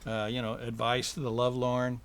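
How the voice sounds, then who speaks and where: A relaxed man, a recording engineer, chatting as a guest in a studio, loose and chuckling with many fillers. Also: mid-range voice. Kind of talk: casual interview chat.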